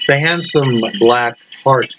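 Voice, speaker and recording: A man reading a scripted horror story aloud in a deliberate, dramatic manner. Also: medium pitch, over loud music. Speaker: story reader. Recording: muffled lo-fi with loud background noise.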